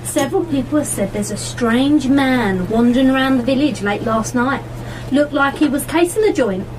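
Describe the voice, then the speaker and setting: A village waitress played by a woman in a radio play, talking in a high voice a little away from the microphone. She is chatty and gossipy, with homely turns of phrase and a suspicious streak. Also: animated, normal speed, recorded from a distance, a little hiss or hum, low-quality recording. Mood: gossipy, wary. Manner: chatty, colloquial. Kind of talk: live amateur radio play acting.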